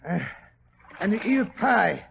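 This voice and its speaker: An actor playing a portly, good-natured, grumbling British gentleman doctor in a 1940s radio drama, blustery and hesitant with a gravelly voice. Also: sits high, lively intonation, slow, full character voice. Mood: grumbling, wistful. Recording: muffled, hissy 1940s radio recording.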